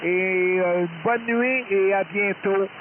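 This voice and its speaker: Through a thin, hissy shortwave link comes a man's high-pitched voice. He talks like a ham operator making contact, courteous and hesitant, often doubling back on his words. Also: fairly even intonation, takes it slowly. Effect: shortwave radio transmission. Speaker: amateur radio operator. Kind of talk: unscripted ham radio exchange. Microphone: radio transceiver microphone. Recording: narrowband hissy shortwave radio signal with noise.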